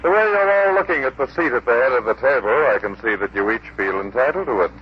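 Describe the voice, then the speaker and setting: A man's voice: medium pitch, expressive, fast, close to an everyday voice. An actor playing a pompous, self-important man of standing in a theatrical 1940s radio drama style. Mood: smug, sly. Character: pompous self-important male farm overseer and host of guests. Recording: muffled, noisy 1940s radio recording.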